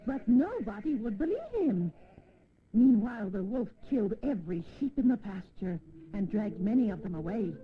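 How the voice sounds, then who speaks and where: A woman narrating a fable for children as a storyteller, in an expressive, deliberate, scripted style. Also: medium pitch. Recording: noisy old 16mm educational film soundtrack.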